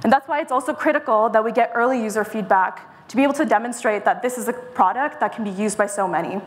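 A young woman in her twenties giving a polished, scripted startup pitch on a stage, with a clip-on mic. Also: quick pace. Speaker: startup presenter. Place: stage in a mid-sized event room.

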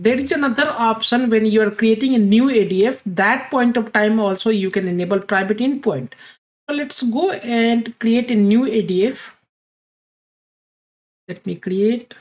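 A woman narrating a screen-recorded software tutorial, thinking aloud as she clicks through each step, with slightly non-standard English phrasing. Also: a little high. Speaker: tutorial presenter.

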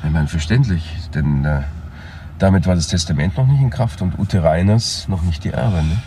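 A man's voice: low voice, moderate pace, warm tone, lightly acted. An actor playing a detective's assistant in a crime radio play, casual and conversational as he reasons. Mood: musing. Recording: noisy background.

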